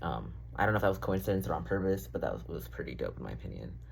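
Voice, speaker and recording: A man's voice: deep voice, big pitch swings, racing, gravelly, a little hiss or hum. A young man rambling casually in a diary-style vlog, full of fillers, swearing and self-deprecating asides. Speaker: vlogger.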